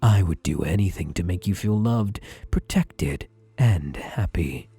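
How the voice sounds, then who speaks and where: A man acting as a softly controlling male therapist for an ASMR roleplay. His low voice swoops and dips through slow, deliberate reassurances, hushed and breathy, with an obsessive, doting tenderness. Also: lively intonation, soft background music, full character voice. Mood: tender, doting. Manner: soothing, deliberate, hushed, drops into breathy murmurs.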